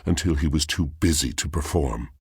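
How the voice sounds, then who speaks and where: An actor voicing a brooding, lovelorn poetic machine in a video game, with theatrical, solemn delivery and a gravelly voice. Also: male voice, rumbling low, moderate pace, no echo, studio quality, exaggerated voice. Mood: wistful, grandiose. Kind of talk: video game character acting.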